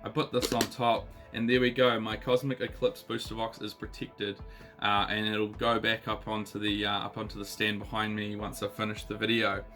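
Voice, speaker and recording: A New Zealand man in his thirties talks in a lowish but bright, forward voice, still husky from a recent illness. He rambles casually with plenty of ums and uhs, and soft guitar music plays faintly behind him. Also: monotone, normal speed. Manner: chatty, lots of ums and uhs. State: husky, recovering voice. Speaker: card collecting channel host. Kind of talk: casual unboxing chat.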